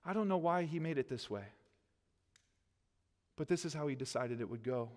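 A man's voice: fairly high pitch, animated, normal speed, a little mellow. A man in his thirties, a pastor preaching at a lectern, earnest and gesturing as he quotes scripture. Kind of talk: sermon. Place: church stage behind a lectern, indoors. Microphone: headset mic. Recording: long hall reverb.

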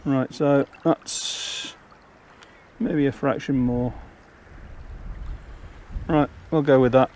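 A man's voice: mid-range voice, fairly even intonation, extremely slow, pauses often, darkish tone, a little hiss or hum. An older man casually explaining fishing steps outdoors on a riverbank, talking step by step. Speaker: angler and channel host.

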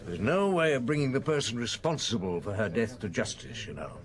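A man playing a shrewd, unhurried French police chief inspector in a radio drama, musing and wry. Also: mid-range voice, sing-song, a crowd in the background, lightly acted. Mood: probing, wry. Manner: musing, deliberate, wry, hesitant pauses. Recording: old radio broadcast.

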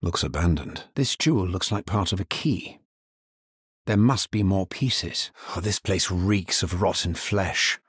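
Deep, husky, grim necromancer. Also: male voice, a little slow, no echo, studio quality, full character voice. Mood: grave, watchful. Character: grim, dutiful male necromancer adventurer. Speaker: video game voice actor. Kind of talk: video game character voice lines.

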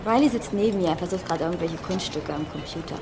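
Female adventure lead acted, lower register, assured and teasing, clearly noisy film ambience. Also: fast talker, close to an everyday voice. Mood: playful, assured. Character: self-assured, teasing adult female heroine. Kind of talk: dubbed film acting.

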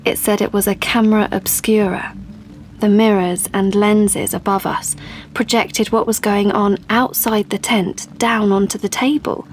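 A woman's voice: medium pitch, steady tone, measured pace, slight background noise, close to an everyday voice. A woman playing an assertive, persuasive young woman in an audio play, with an insistent, explanatory way of talking. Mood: confiding. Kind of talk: audio play monologue and dialogue.

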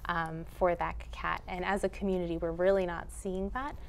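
A woman's voice: on the low side, flat delivery, normal speed. A young woman explaining things as an animal shelter's interview guest, in an assured, informal conversational way. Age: young adult. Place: indoor TV studio set. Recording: clear background noise.

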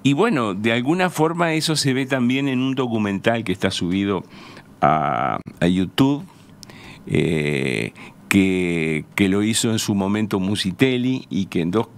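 An older man from Uruguay with a low-pitched voice and a Uruguayan accent. He speaks as an interview guest in a radio studio, close to the mic. His explanations are measured and conversational, with searching pauses. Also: animated, clean tone. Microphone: close studio microphone on a stand.

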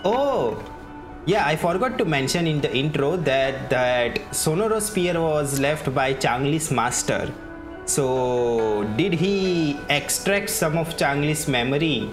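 A man doing casual playthrough commentary as a gaming streamer, halting as he thinks aloud. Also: fairly high pitch, lively intonation. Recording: game music behind voice. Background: video game music.

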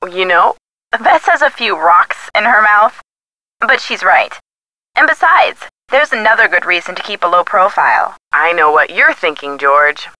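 A woman's voice: on the low side, lively intonation, a little slow, pushed and bright, dry recording, low-quality recording. An actress playing a detective's chatty, witty young female friend in a video game, with a playful, teasing manner. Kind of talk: scripted video game dialogue.

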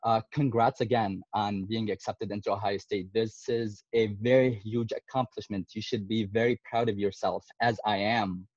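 A man's voice: lowish voice, fairly even intonation, normal speed, few pauses, a little mellow, dry recording. A young man from Syria, a medical student in his twenties, presenting on a video call and speaking hesitantly with many fillers. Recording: dull, lo-fi video call audio.

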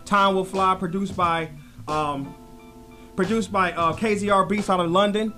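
A man's voice: high-pitched, steady tone, a little slow, sharp tone, faint music behind. An American man in his thirties from Detroit, a rapper chatting casually and slangily as a studio guest. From Detroit, United States. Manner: slangy, addresses listener as 'man'. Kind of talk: casual chat. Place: radio studio with brick wall, indoors. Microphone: close studio microphone, headphones.

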